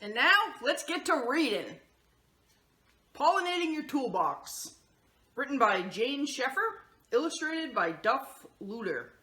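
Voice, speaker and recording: A woman's voice: high-pitched, big pitch swings, unhurried, sharp tone, echoey room. A woman hosting a children's story time, addressing young listeners and reading a picture book aloud. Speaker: children's story host and reader.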